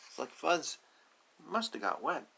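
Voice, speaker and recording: A man's voice: a little high, big pitch swings, unhurried, vocal fry, sharp tone, slight background noise. A man reading a picture book aloud to young children, explaining simply with repeated asides. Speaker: storybook reader.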